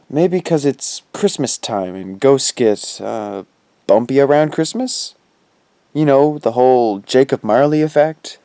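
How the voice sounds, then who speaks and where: A man's voice: medium pitch, takes it slowly, warm tone, dry recording. An actor playing a wry, teasing teenage boy ghost in an audio drama, with slangy, needling delivery. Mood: wry, sly. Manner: slangy, laid-back, needling.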